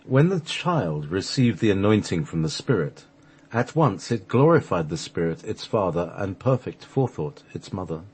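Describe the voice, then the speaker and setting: Man, fairly deep, husky, solemn measured narration, radio play reading. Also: big pitch swings, darkish tone. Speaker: radio show host and narrator.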